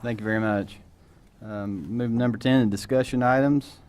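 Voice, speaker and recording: A man's voice: mid-range voice, steady tone, moderate pace, a little mellow. A man chairing a formal meeting, making procedural announcements. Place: school board meeting room.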